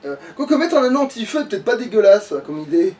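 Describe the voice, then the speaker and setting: A man's voice: high voice, sing-song, fast, a little mellow, recorded from a distance. A man chatting casually as he plays a video game, grumbling and swearing. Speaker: let's player playing the game. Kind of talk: casual gaming chat, live play commentary.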